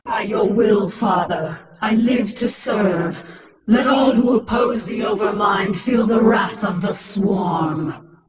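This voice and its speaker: A woman's voice: medium pitch, slow, distant mic, background noise. A woman playing a proud, menacing human-alien warrior queen in a video game, commanding, cold and self-assured, with an echoing effect on her voice. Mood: fervent devotion. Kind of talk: dramatic video game voice acting.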